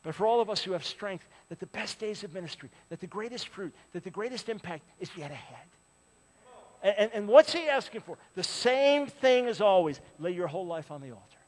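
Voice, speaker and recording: An older male preacher in his sixties, his voice pitched high for a man. He swings from loud, ringing appeals to hushed pleading. The sound rings on in a large church hall. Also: sing-song, a little fast. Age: 60s. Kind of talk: sermon.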